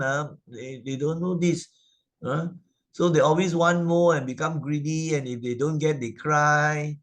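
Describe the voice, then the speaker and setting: Chatty Malaysian English teacher. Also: male voice, on the high side. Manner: chatty, full of fillers and tag questions. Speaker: Sunday school teacher and narrator. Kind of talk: explaining a children's moral story. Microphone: video call presentation mic.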